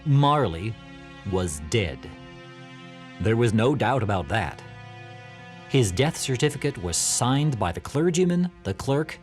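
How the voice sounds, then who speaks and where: A conspiratorial storyteller who talks straight to the listener. The delivery is slow and theatrical with big swings in pitch, over soft music. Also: male voice. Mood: wry gravity. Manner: dramatic, intimate, conversational. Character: genial, conspiratorial storyteller guiding the listener along. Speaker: narrator.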